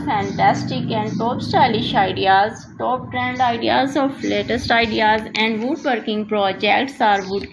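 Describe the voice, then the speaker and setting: Creaky-voiced woman hosting a slideshow. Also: fairly high pitch, background noise. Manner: repetitive, enthusiastic promotional phrasing; non-native, simple English. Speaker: channel host. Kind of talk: voice-over for a slideshow.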